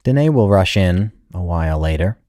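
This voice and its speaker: A man's voice: deep voice, lively intonation, moderate pace, dark tone, no echo, studio quality. A man reading a literary short story as its narrator, in a scripted style.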